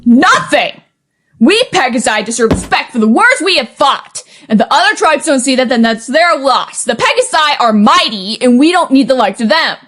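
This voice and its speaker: Audio drama casting: woman to play cocky pegasus commander, highish voice, animated, impatient and bossy. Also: moderate pace, full character voice. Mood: angry boasting. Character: brash, bossy, proud pegasus military commander.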